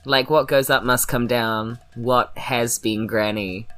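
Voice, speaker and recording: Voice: medium pitch, fairly even intonation, slow pace, fairly bright tone, soft background music. A player in a tabletop game chatting casually, with a playful, quippy way of talking. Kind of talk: casual improvised game chat.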